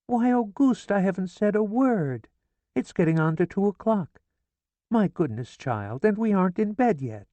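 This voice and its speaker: A man's voice: sits high, lively intonation, moderate pace, mellow, no room sound, pitched above the performer's own voice. A man putting on a fretful whiny mother. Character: fussy complaining middle-aged mother.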